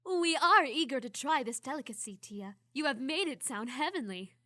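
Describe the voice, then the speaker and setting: A woman's voice: high voice, lively intonation, moderate pace, pushed and bright. A woman voicing a regal pony princess of the night with a very formal manner of speech.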